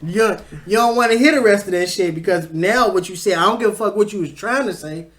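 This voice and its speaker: A man's voice: high-pitched, fast talker, a bit bright. A young adult man co-hosting a podcast, blunt and heated, swearing freely in casual group chat. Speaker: podcast co-host.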